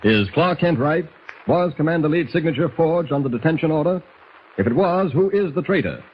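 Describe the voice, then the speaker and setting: A man's voice: fairly high pitch, lively intonation, brisk, a little mellow, lightly acted. A man narrating a 1940s radio serial as its announcer, formal, dramatic and emphatic to build suspense. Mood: suspenseful. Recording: dull, slightly noisy 1940s radio recording.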